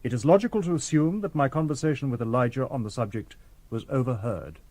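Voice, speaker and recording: An actor playing a calm, logical, polite humanlike robot in a radio drama, speaking formally and precisely without getting ruffled. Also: male voice, medium pitch, fast, dry recording, close to an everyday voice. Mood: calm, factual.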